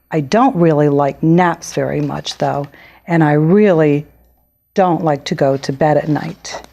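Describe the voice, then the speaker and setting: An excitable little girl of six tells her own picture-book tale, acted out by a woman. The voice is low and soft-edged for a child. She is happy at heart but quick to get cross. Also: exaggerated voice. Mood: cheerful. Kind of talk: picture-book read-aloud.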